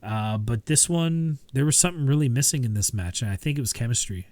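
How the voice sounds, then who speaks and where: A man's voice: mid-range voice, a little fast, no room sound. Dry-sounding man rambling casually. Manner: relaxed, rambling with many fillers and restarts. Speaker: podcast co-host. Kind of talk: casual chat.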